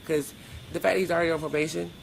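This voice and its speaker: Blunt middle-aged woman with gravelly fry. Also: deep voice, steady tone, rapid-fire, slight background noise, low-quality recording. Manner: blunt, emphatic, colloquial. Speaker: podcast guest. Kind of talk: candid personal interview.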